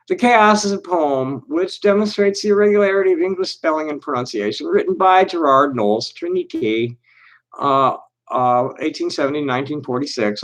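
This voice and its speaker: A man hosting a solo livestream, reading aloud slowly and deliberately, then chatting in a halting, rambling way with many restarts. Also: fairly high pitch, a bit bright, rough recording. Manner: reads aloud with deliberate slow pauses. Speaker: livestream host. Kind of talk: casual solo livestream chat.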